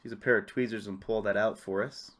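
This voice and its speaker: A man's voice: fairly low pitch, steady tone, quick pace, a bit bright. A man presenting a repair tutorial, giving casual, informal step-by-step hands-on instructions. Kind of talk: casual hands-on how-to narration.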